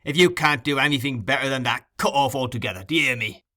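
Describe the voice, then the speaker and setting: A man's voice: medium pitch, fast, pushed and bright, pitched above the performer's own voice, close to an everyday voice. A man voicing a surly, brutal male thief who growls and curses, in a storybook reading. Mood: savage. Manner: growling, savage, cursing.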